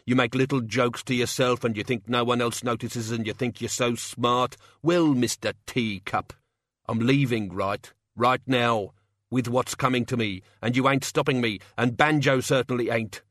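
A man voicing a cocky old British crook with rough, colloquial speech in an audiobook. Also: mid-range voice, steady tone, moderate pace, fairly bright tone, no room sound, clean studio sound, natural voice. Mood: scornful, defiant. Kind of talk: audiobook character acting.

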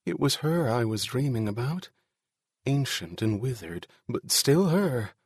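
A man's voice: medium pitch, sing-song, unhurried, husky, no room sound, studio quality, full character voice. A man voicing an anxious, fragile male musician in an audiobook, fretful and pleading.

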